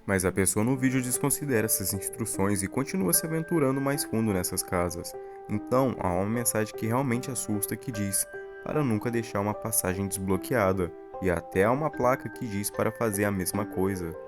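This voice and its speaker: A man with a fairly low voice and a Brazilian accent narrates a scripted horror explainer. He tells it as a story, with faint music running behind his words. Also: fairly quick.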